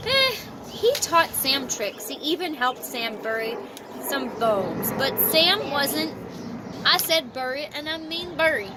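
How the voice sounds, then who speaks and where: A woman reading a children's story aloud outdoors in expressive, deliberate phrasing, with chatty asides. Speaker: storybook reader. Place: outdoors at a park. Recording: noisy outdoor amateur recording, ambient rumble.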